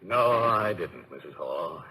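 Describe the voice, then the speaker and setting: A man playing a gallant, hearty older gentleman, courtly and effusive, in 1950s radio comedy-drama style. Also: deep voice, lively intonation, takes it slowly, slightly rough, exaggerated voice, poor audio. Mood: courtly, admiring. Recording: old radio recording with faint noise.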